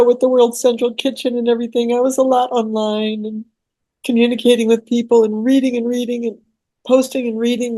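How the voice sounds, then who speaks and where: A woman speaks up in a satsang over a video call, earnest and searching, stringing her thoughts together in long runs. Her voice sits fairly high and the sound is dry and close. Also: dark tone, dry recording. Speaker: satsang participant. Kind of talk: sharing in group talk.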